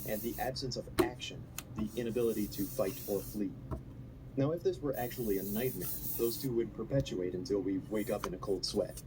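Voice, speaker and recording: Man narrating over very noisy background. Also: on the low side. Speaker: video essay narrator. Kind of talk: scripted analytical narration.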